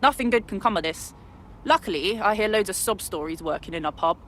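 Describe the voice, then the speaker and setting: A woman's voice: medium pitch, expressive, a little fast, a little hiss or hum, natural voice. An actress playing a blunt, sweary female pub worker helping the resistance. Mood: wry.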